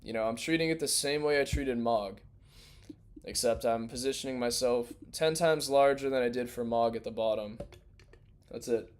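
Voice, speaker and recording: A young man hosting a crypto livestream in loose, rambling, slangy casual chat, his voice worn and going hoarse. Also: mid-range voice, moderate pace. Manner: loose, rambling, slangy, restarts mid-thought. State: losing his voice.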